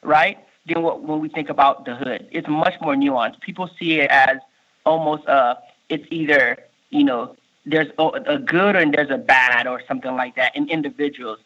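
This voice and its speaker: A man in his thirties, born in Haiti and raised in Miami, with a Miami accent, answering interview questions in a halting way, full of fillers and restarts. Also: on the high side, fairly even intonation, pushed and bright. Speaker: state workforce official, guest. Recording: muffled lo-fi recording.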